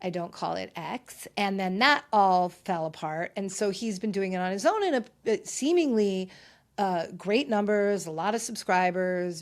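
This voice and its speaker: A woman's voice: medium pitch, darkish tone. A woman hosting a solo podcast with a slight lisp, talking in a chatty, sarcastic way with rambling asides. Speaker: podcast host. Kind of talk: casual solo podcast chat.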